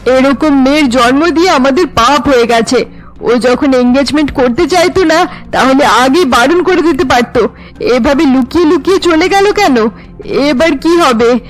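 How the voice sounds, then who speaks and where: A woman's voice: sits high, normal speed, natural voice, rough recording. A woman playing a harsh middle-aged mother obsessed with family honour in a cartoon, scolding melodramatically. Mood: indignant. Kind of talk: cartoon voice acting.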